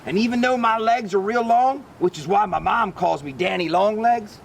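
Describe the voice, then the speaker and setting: A man reading a children's book aloud expressively like a teacher, pausing to quiz his listeners. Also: vocal fry. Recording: distant mic, rumbly background noise.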